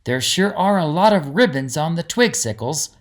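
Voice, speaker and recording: A woman's voice: deep voice, animated, moderate pace. A man voicing a curious, plucky young girl in a fantasy storybook, inquisitive and eager. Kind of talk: storybook character dialogue.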